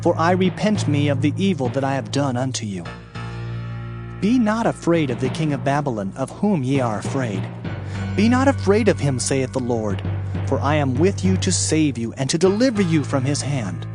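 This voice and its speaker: A man playing a solemn prophet who delivers God's warnings in a dramatized scripture reading, with a measured, grave, declamatory manner. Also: medium pitch, sing-song, over music, close to an everyday voice. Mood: solemn, assuring.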